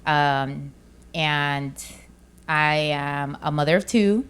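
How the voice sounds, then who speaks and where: Low, smooth-voiced woman in casual chat. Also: a bit bright. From born in Mexico, raised in Chicago. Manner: slangy, informal, quick to laugh. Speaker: interview guest, business owner.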